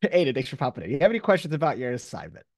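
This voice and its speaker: A man's voice: medium pitch, wildly swooping pitch, rapid-fire, dry recording, studio quality. A man speaking as a college professor, giving an informal, encouraging lecture to his class in casual, slangy language.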